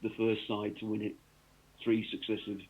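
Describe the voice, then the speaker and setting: A man's voice: lowish voice, completely flat delivery, moderate pace, breathy edge. A man from North East England, a cricket author, talking through his work in a rambling radio interview full of ums and restarts. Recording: tinny phone line.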